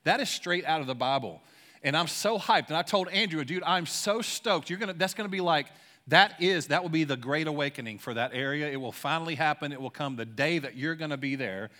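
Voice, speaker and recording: A young man preaching a sermon in a church, casual and excited, using slangy talk and leading a prayer. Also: a little high, fairly quick. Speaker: pastor. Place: church sanctuary.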